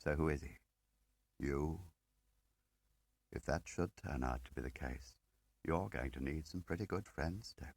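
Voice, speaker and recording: A man plays an ageing spymaster in an audiobook, with a low voice. He is measured and quietly relentless, laying things out in deliberate phrases and probing questions. The sound is very dry. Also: dry recording, heavily acted. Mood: probing, insistent. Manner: deliberate, pausing interrogator with rhetorical questions. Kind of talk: dramatic audiobook character reading.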